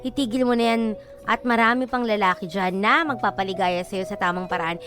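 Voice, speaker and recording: A woman's voice: medium pitch, brisk. A woman with a Filipino accent co-hosting on radio, sassy and teasing, giving listeners advice and banter and slipping into English. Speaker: radio DJ co-host. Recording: music bed behind the voice.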